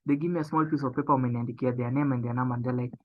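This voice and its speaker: A young man giving a motivational business training talk. He speaks emphatically, repeats his key phrases and slows down to stress them. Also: medium pitch, steady tone. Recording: tinny phone-like sound.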